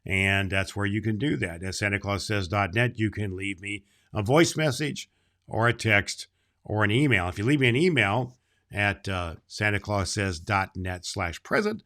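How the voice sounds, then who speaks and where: A man playing Santa Claus, reading a children's book aloud and talking to kids in a slow, gentle storytelling manner. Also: lowish voice, lively intonation.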